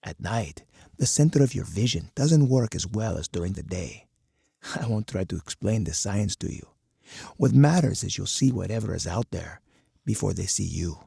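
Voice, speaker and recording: Hawkish game colonel: low, gravel-throated and husky, stern, measured, uncompromising strategist. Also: male voice, lively intonation, mellow, dry recording, studio quality, full character voice. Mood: instructive. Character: uncompromising hawkish male army colonel, grim military strategist. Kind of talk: scripted game voice lines.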